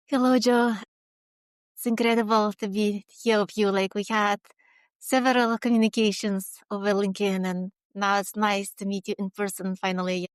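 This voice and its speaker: Smooth, even-toned Lithuanian woman. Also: medium pitch, normal speed, no echo. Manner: earnest, searching for words, frequent "like" and restarts. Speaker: guest, HR consultant. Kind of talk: interview conversation.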